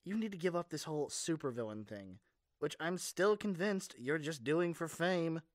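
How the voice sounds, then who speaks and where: Man as a caring young superhero, higher-pitched voice. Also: expressive, normal speed, no echo, studio quality, close to an everyday voice. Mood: dismissive. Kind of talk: amateur ASMR audio roleplay.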